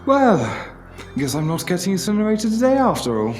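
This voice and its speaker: A man's voice: high-pitched, very animated, fairly quick, a little mellow, slight background noise. A man playing a brooding, wry alien time traveller in a fan audio drama, musing aloud to himself. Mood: relieved.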